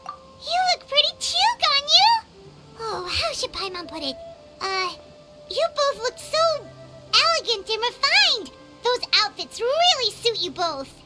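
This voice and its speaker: Casting: tiny chirpy game fairy, extremely high, wildly animated, nosy and cheeky. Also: female voice, a little slow, full character voice. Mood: admiring, excited. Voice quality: squeaky, childlike. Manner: cheeky, exclamatory, speaks of self in third person. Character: small chirpy childlike female fairy companion, nosy and excitable. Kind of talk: video game voice acting. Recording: loud game music behind. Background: music.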